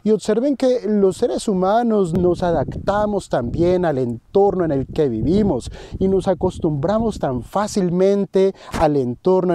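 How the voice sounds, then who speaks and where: A Colombian man in his thirties speaks straight to camera outdoors beside a winter lake, the camera held close to his face. His voice sits high and sounds very warm and mellow. He explains his point earnestly and addresses his viewers directly. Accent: Colombian Spanish. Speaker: finance vlogger. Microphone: handheld camera close to face.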